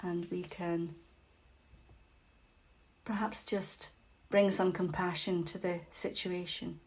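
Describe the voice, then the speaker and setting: Female meditation facilitator: slightly low voice, very slow gentle delivery, soft and breathy as phrases tail off, generous pauses. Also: flat delivery, poor audio. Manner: gentle, unhurried, with long pauses. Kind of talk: guided meditation.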